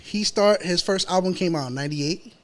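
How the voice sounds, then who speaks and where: A man with a New York accent chatting casually as an interview guest, slangy and pausing as he goes. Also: high voice. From New York City, United States.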